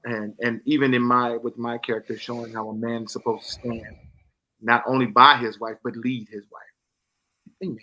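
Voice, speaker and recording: Stage actor, male, middle-aged, mid-range and bright, earnest and emphatic, giving a promotional interview. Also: medium pitch, level tone, normal speed.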